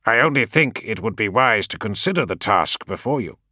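A man voicing a wry male adviser who speaks in a measured, mockingly sober, deliberate way. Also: on the low side, lively intonation, sharp tone, dry recording, studio quality, pitched above the performer's own voice. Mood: careful.

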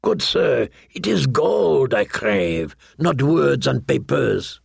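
A man's voice: mid-range voice, expressive, slow, vocal fry, husky, no room sound, studio quality, exaggerated voice. A man voicing a boastful, lewd, wheedling pirate captain in an audiobook, with a theatrical, jovial manner and questioning tags. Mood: mournful, wheedling. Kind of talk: audiobook character voice.

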